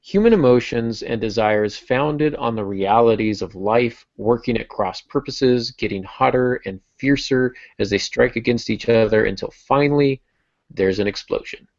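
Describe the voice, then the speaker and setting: A man's voice: mid-range voice, moderate pace, a little mellow, no room sound, muffled recording. A man hosting a casual podcast panel discussion, talking conversationally as a writer among colleagues. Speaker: podcast host and novelist.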